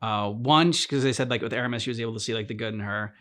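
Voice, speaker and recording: A man hosting a reaction channel, casually discussing a game's story in a halting way, repeating words as he thinks aloud. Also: medium pitch, pushed and bright. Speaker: host of a game reaction channel.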